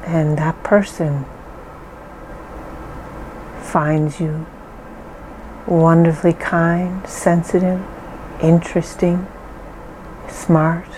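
A woman slowly leading a calming guided relaxation, reassuring and gentle with deliberate pauses. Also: lowish voice, a little hiss or hum, poor audio. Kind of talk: slow calming guided meditation.

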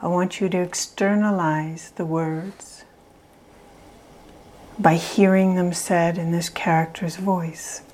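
A woman leading a guided self-help visualization in a calm, measured way with long pauses between phrases. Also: on the low side, a little hiss or hum. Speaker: meditation guide.

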